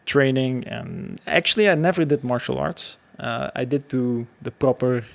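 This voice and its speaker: Male podcast guest, thirties. Gravelly, mid-pitched, halting conversational storytelling. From Belgium. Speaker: podcast guest, security professional. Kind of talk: casual interview storytelling.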